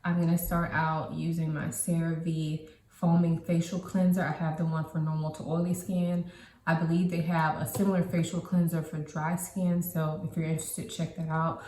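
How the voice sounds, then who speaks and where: A woman in her late thirties chatting casually to the camera as a beauty vlogger, explaining in a relaxed way with frequent ums and likes. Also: low voice, normal speed, a little hiss or hum. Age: 30s. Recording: echoey room.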